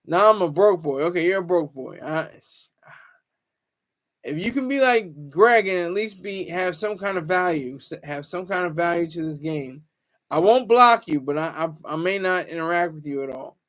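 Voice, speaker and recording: A man's voice: high voice, moderate pace, fairly bright tone. A man hosting a casual livestream, rambling, teasing viewers and stumbling over restarts. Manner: stammers and restarts often. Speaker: livestream host.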